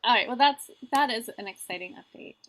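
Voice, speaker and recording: A woman's voice: fairly high pitch, a little fast, a bit bright, poor audio. A woman co-hosting a home livestream, speaking in a theatrical, tongue-in-cheek way that slips between casual banter and mock-formal announcing. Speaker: livestream co-host and musician.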